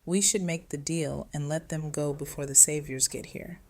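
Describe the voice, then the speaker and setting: Woman, deep voice, calm, measured, audio drama. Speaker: voice actor. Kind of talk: amateur audio drama acting.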